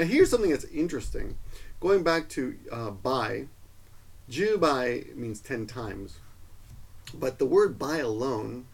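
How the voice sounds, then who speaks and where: Gravelly-voiced man teaching a casual lesson. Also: medium pitch, low-quality recording. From Peru. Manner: rambling, self-correcting explanations with many fillers. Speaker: language teacher. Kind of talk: casual video language lesson.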